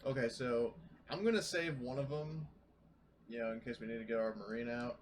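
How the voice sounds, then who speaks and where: A man with a middle-pitched voice talks casually during a tabletop game. He sets out options in a plain, matter-of-fact manner, with faint noise behind him. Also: fairly quick. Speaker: player in a tabletop roleplaying game.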